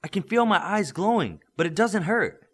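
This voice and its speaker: Casting: male reader as eager young hero-in-training, higher voice, swooping, earnest delivery. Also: normal speed, husky, heavily acted. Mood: awed, elated. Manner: excited, earnest. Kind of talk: audiobook character acting.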